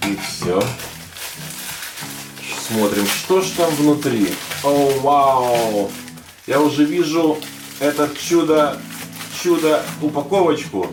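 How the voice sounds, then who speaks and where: A man hosting a casual tech unboxing, talking in a chatty, hesitant way with many fillers and a slightly hoarse throat. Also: a little high, slow, long pauses, over music, poor audio. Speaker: tech video host.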